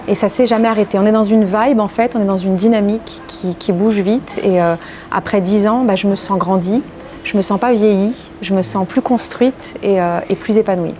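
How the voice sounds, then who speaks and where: A woman from France giving measured, reflective and earnest interview answers as a legal professional. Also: medium pitch, steady tone, mellow, a little hiss or hum. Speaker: interviewee, corporate legal and compliance executive.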